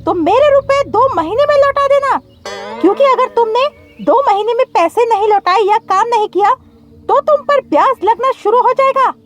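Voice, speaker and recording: Lady doing a really high shrill cartoon crow boss, stingy, always scolding, music under it. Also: expressive, moderate pace. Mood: suspicious, stern. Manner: scolding, demanding. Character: bossy, stingy, suspicious male crow employer. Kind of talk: cartoon story character voice.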